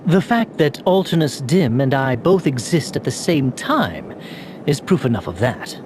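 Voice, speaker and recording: An earnest young man from a video game, haunted by doubt. He speaks slowly and haltingly, with pitch that swings dramatically. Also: big pitch swings, noisy background. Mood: grave. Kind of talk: English video game voice acting.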